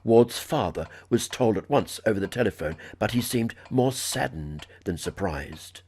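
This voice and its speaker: A man narrating a literary horror story in a formal, measured, dramatic reading style. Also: fairly low pitch, animated, darkish tone, a little hiss or hum. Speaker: audiobook narrator.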